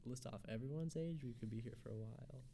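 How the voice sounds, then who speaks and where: A man's voice: mid-range voice, fast talker, creaky, crowd noise. A twenty-two-year-old man, a band member, chiming in with short remarks during a radio studio interview. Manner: brief interjections, finishes others' sentences. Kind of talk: casual interview chat.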